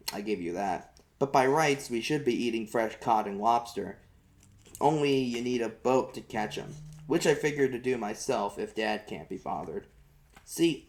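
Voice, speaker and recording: A man reading a first-person novel aloud as an amateur audiobook narrator, in folksy storytelling style. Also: mid-range voice.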